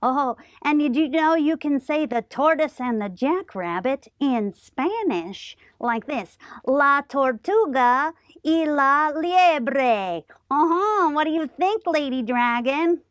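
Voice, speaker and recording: A woman with a high voice hosts a children's show, talking slowly and gushingly as if to little ones. The recording is dry and a little dull. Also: lively intonation, dry recording. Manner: gushing, simple child-directed talk. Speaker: children's library story time host. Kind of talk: chatting to puppet friends for children.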